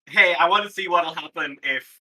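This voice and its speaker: A man's voice: on the high side, normal speed, bright, forceful tone, poor audio. A man playing in a tabletop role-playing game, talking in a casual, tentative, slangy way.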